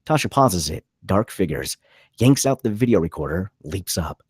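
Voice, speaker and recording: A man's voice: sits low, big pitch swings, normal speed, husky, dry recording, studio quality. A man reading scripted stage directions as the narrator of a screenplay.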